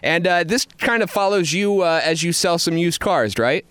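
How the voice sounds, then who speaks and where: A man's voice: on the high side, moderate pace, fairly bright tone, smooth, no echo. A man hosting a radio interview in a casual, joking, self-deprecating and informal way. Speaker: radio show host.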